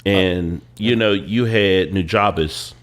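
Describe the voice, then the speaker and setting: A young man with a very deep, congested voice, stuffy from a cold. He chats casually as a podcast co-host, unhurried and drawling, with lots of "uh" pauses. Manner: relaxed, drawling, with many "uh" pauses. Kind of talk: casual chat.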